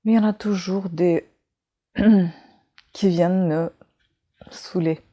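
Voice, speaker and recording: Mellow-voiced woman with a foreign accent. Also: fairly low pitch, fairly even intonation, slow. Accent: foreign accent in French. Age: 40s. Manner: chatty, reading book titles aloud with asides. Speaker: vlogger. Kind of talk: casual chat.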